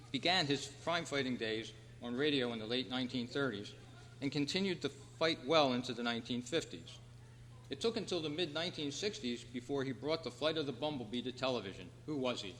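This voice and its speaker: A man hosting a trivia quiz at a club meeting, reading out questions in a measured, announcing way. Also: medium pitch, animated, a bit bright. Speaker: quiz host. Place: hall at a convention club meeting. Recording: reverberant hall, clear background noise and hum.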